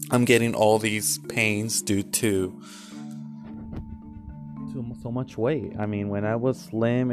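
A man in his twenties talking candidly in a casual vlog, rambling with many fillers and restarts. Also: fairly low pitch. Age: 20s. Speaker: vlogger.

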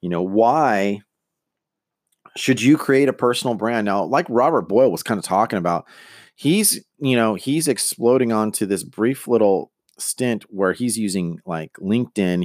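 A man's voice: fairly low pitch, no room sound, clean studio sound. A middle-aged man hosting a podcast, talking casually and earnestly with lots of fillers and restarts. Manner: rambling, many fillers and restarts; earnest, motivational. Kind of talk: casual monologue on personal branding.

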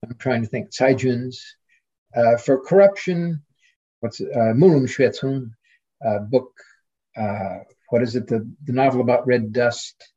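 A man speaking as a scholarly podcast guest in casual discussion, halting as he searches for names and words. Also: mid-range voice, mellow, breathy edge, highs cut off.

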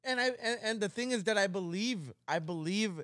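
A man's voice: high voice, fairly quick, a bit bright, dry recording, clean studio sound. A young man from Canada co-hosting a podcast, reacting eagerly and laughing often in casual chat. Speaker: podcast co-host.

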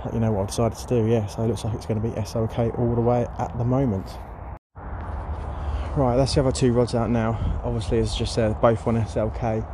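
A man chatting casually by a lake about carp fishing, rambling with plenty of fillers, his voice going hoarse. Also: mid-range voice, little pitch movement, a little fast. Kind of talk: casual vlog chat to camera. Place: outdoors by a lake. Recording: noisy outdoor recording.

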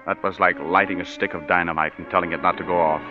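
An actor playing a wisecracking, hard-boiled private detective with dry, clipped narration, in 1940s radio acting. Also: male voice, sits low, fairly even intonation, fast talker, over music, close to an everyday voice. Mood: narrating. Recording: dull reverberant 1940s radio broadcast.

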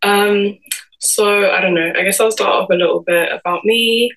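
A woman's voice: medium pitch, steady tone, moderate pace, fairly bright tone, low-quality recording. A woman in her early twenties with a London accent, giving a reflective personal talk in a vlog, feeling her way through her phrases. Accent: London English. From London, England. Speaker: guest speaker giving a personal talk to camera.

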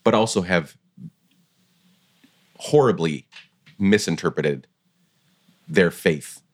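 A very deep-voiced man hosts a heartfelt conversation and responds to his guest. He speaks reflectively and with empathy, repeating key words for emphasis. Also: slow pace. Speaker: podcast host. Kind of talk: conversational interview.

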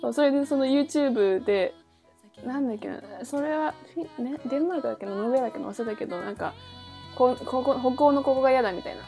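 A woman with a lightly high-pitched voice co-hosts a casual podcast. She talks like she is with a friend, slangy and animated in her reactions. Soft music plays behind her. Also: fast talker. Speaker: podcast co-host. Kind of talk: casual chat between friends. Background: background music.

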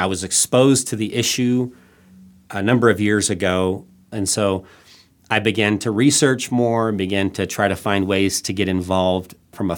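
A middle-aged man raised in Thailand, a guest on a podcast who answers reflectively with frequent restarts. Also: lowish voice. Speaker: guest, nonprofit CEO. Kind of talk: podcast interview.

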